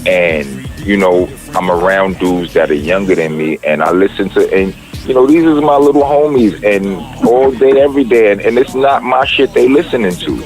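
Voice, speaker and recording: A middle-aged man with a deep voice, a rapper talking casually in an interview, rambling and profane, with many restarts. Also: moderate pace, hardly any pauses, darkish tone, faint music behind, low-quality recording.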